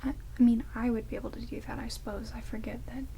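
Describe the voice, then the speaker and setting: A woman playing an ancient, quirky vampire in an ASMR roleplay, speaking in halting, hushed whispers and soft murmurs. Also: on the high side, steady tone, gravelly, breathy edge, exaggerated voice. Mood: musing, deadpan. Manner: hushed, rambling, halting, drawn-out words. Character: ancient vampire, quirky, blunt yet caring, bandaging wounds. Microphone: close binaural microphones. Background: heavy rain.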